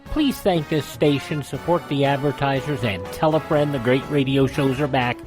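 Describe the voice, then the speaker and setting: A man's voice: mid-range voice, moderate pace. A man hosting a radio programme, delivering an outro and plugs in a studio. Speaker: radio show host. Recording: dry studio with music behind.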